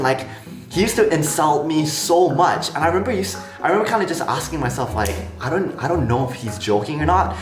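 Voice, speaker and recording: A young man from Malaysia with a slight American accent, talking casually to camera as a vlogger in a chatty, frank way. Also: a little high. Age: young adult. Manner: chatty, frank, lots of "like" and "honestly". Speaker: vlogger, international student. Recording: roomy echo with music behind.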